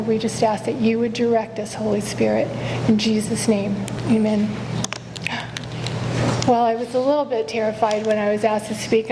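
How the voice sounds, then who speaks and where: Quiet-voiced woman preaching in a church. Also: on the high side, long reverb tail, noisy background. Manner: earnest, repeats "Father" in prayer, self-correcting. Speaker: guest speaker. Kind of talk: sermon with prayer. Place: church sanctuary. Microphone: stage microphone.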